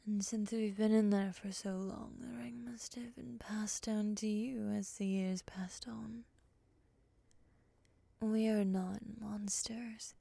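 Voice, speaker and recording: A woman playing a composed, bossy, possessive moth monster in an ASMR roleplay, speaking softly and breathily, often near a whisper, with a measured, scolding manner. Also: mid-range voice, vocal fry, no echo. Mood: solemn, aggrieved. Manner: measured, scolding, coolly teasing.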